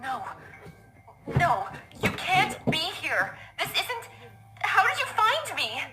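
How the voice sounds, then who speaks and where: A woman's voice: very high voice, huge pitch swings, slow pace, very noisy, heavily acted. A woman voicing an anxious pony mother in a fan audio drama, stammering and pleading emotionally. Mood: frightened pleading. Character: anxious pony mother and her sulky young filly daughter. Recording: roomy sound with music underneath.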